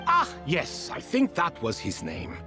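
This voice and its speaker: A man's voice: fairly high pitch, wildly swooping pitch, measured pace, music in the background. An actor playing an ancient ibis king spirit in a video game, courteous and wistful, with a theatrical, leisurely storytelling manner. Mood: musing, amused.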